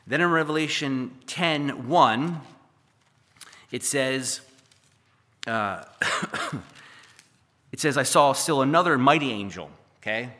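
A hoarse man preaches to his congregation, reading scripture aloud and breaking off for casual, joking asides. His voice is bright and forceful despite the strain. The sound carries a long reverb from a large hall. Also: mid-range voice, lively intonation, slow pace, pauses often. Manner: conversational, with jokey asides and frequent "okay?" and "right?". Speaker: pastor. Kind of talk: preaching a sermon with Bible readings.

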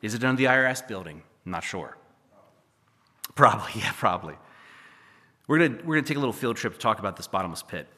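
A hoarse man preaches to his congregation, reading scripture aloud and breaking off for casual, joking asides. His voice is bright and forceful despite the strain. The sound carries a long reverb from a large hall. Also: fairly low pitch, lively intonation, fast talker, pauses often. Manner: conversational, with jokey asides and frequent "okay?" and "right?". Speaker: pastor. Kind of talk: preaching a sermon with Bible readings.

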